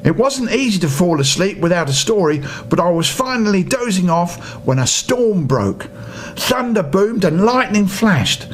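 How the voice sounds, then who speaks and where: Grandad type reading a storybook, playful, really lively high voice, bit of rumble behind. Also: male voice, normal speed. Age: older. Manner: playful, theatrical asides. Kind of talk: animated children's story reading. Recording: noisy home recording with rumble.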